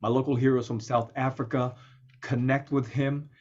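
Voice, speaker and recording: A man hosting an interview show, enthusiastic, slowing down to stress his points. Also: lo-fi sound. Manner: enthusiastic, slows down for emphasis, lively pitch jumps. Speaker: host.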